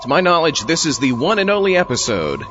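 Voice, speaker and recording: A man's voice: a little high, wildly swooping pitch, quick pace, background noise. A man hosting a podcast, delivering a humorous scripted introduction. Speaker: podcast host. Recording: dull sound with music behind.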